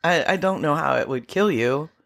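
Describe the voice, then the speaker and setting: A woman co-hosting a podcast in casual movie banter, giggly and animated, slipping into mock voices. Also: low-pitched, moderate pace, gravelly. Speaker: podcast co-host.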